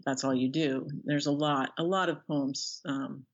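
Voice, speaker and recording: A middle-aged American woman being interviewed as an author, speaking thoughtfully and haltingly as she searches for words. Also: very deep voice, steady tone, dull audio. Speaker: interview guest, author and professor. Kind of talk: reflective interview conversation.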